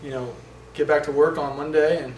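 A young college quarterback speaks at a postgame press conference, halting, with many fillers. His pitch sits a little high but barely moves. The microphones are set back, so the voice sounds distant and roomy with faint noise. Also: steady tone, a little mellow. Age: young adult. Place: indoor press room in front of a sponsor backdrop. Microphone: table microphones from a short distance.

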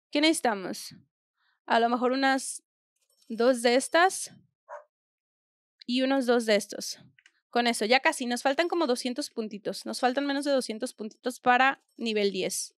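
A woman's voice: on the high side, dry recording, clean studio sound. A young woman with a Mexican accent, chatting casually and thinking aloud while she plays a game on stream. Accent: Mexican Spanish. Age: young adult. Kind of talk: casual gameplay commentary. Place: small indoor room at home.

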